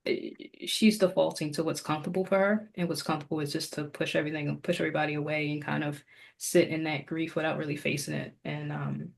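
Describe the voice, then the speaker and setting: A woman's voice: low-pitched, level tone, fast talker, creaky. A woman co-hosting a podcast in a casual, chatty style. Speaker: podcast co-host. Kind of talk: casual chat.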